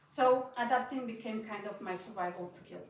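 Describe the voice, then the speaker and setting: A woman's voice: on the high side, normal speed, a little hiss or hum. A young woman from Mexico and Austria, native in Spanish, nervously reading a personal talk aloud to an audience, her voice shaky and broken by small laughs. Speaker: speaker giving a talk. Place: event hall at a building inauguration. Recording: echoey hall, speaker far from mic.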